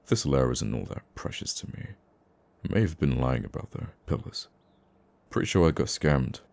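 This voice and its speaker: Man acting a very deep, gravelly soft-hearted villain. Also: exaggerated voice. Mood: dry, reassuring. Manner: dry, grumbling, affectionately teasing. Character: gruff, teasing supervillain with a secret soft side. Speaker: ASMR roleplay voice actor. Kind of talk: intimate ASMR roleplay acting.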